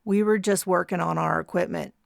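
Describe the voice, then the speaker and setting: A woman's voice: fairly low pitch, fairly even intonation, brisk, vocal fry, no room sound, studio quality. A woman voicing a shaken, subdued young man. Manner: quiet, subdued.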